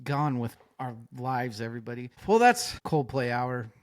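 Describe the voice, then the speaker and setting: A man hosting a podcast, chatting loosely and joking with his co-hosts. He talks in an animated way and swears freely. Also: mid-range voice, normal speed. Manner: animated, swears often, jokey. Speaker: podcast host. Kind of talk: casual chat.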